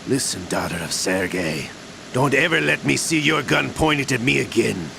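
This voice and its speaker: An actor playing a sly older Russian villain in a video game cutscene, gravelly, drawling and theatrically menacing. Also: male voice, medium pitch, lively intonation, normal speed, noisy background. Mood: smooth, menacing. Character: sly older male Russian villain, coolly scheming gunslinger agent.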